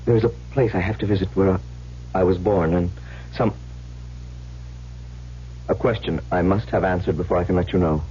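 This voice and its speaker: An actor playing an earnest, troubled young suitor in an old radio drama, measured and dramatic in speech and narration. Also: male voice, deep voice, steady tone, dark tone, a little hiss or hum, close to an everyday voice. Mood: earnest resolve. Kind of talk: old-time radio drama acting and first-person narration. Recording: muffled vintage radio recording with music.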